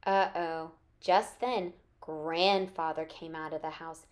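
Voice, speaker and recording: A woman's voice: deep voice, fairly even intonation, slow. A woman reading a children's story expressively, as a teacher would to young listeners.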